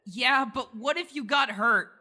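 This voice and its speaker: A man playing an anxious, gentle teenage boy cat in an audio drama, fretful and earnest. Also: very high-pitched, unhurried, exaggerated voice. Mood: alarmed, worried.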